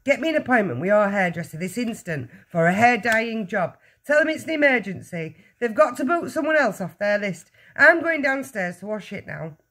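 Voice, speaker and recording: A blustering middle-aged dad from a storybook, played by a woman reader, indignant and panicky. He yells and wails in exclamations, pitched high with wide swings. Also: animated, normal speed, hardly any pauses, slight background noise. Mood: urgent. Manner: yelling, wailing, exclamatory. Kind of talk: children's storybook reading.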